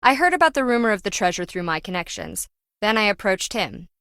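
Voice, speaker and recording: A woman's voice: medium pitch, big pitch swings, fairly quick, fairly bright tone, clear, resonant tone, no room sound, clean studio sound. A woman playing a brash, self-important treasure hunter in a video game, sarcastic and slangy, prone to flippant, dramatic complaining. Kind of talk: video game character dialogue.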